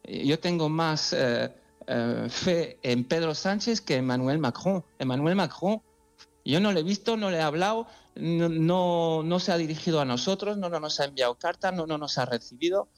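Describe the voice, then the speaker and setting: A man speaking in an emotional interview, earnest and halting, often restarting his words. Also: fairly high pitch.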